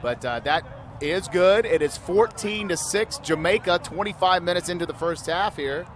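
A man calling a rugby match live, his voice sitting a touch high and jumping up when the action picks up. He explains the rules patiently between plays. Faint outdoor hum sits behind him. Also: moderate pace. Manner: explanatory, rises excitedly on big plays. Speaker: play-by-play commentator. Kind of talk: live sports commentary. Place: outdoor sports field.

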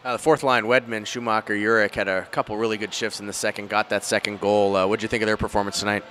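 A man's voice: fairly low pitch, flat delivery, fast talker, a bit bright. A middle-aged man putting postgame questions as a sports reporter into a handheld microphone. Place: indoors before a sponsor backdrop at an arena.

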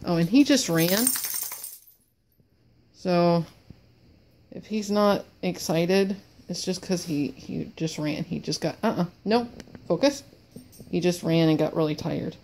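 A woman's voice: fairly low pitch, slow pace, long pauses, darkish tone, a little hiss or hum. A woman who sniffles as she talks, chatting apologetically and rambling while training her service dog at home. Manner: apologetic, rambling, repeats words. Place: cluttered room at home with wood floor.

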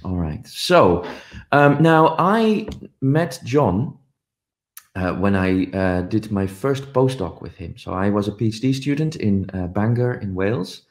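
A man's voice: lowish voice, animated, unhurried. A middle-aged man giving a commemorative conference talk over a video call, telling stories with many ums and uhs. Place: home office, indoors. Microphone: video call webcam.

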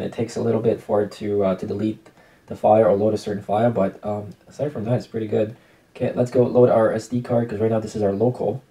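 A man's voice: lowish voice, dark tone. A man giving a casual product review in an unboxing video. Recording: clear background noise with clicks.

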